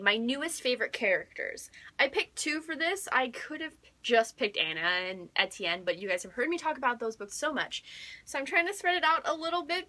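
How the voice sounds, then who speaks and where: Young American female book vlogger; high-pitched, bright, excitable and chatty, with emphatic repetition. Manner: gushing, emphatic, repeats words for emphasis. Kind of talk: casual excited chat to viewers.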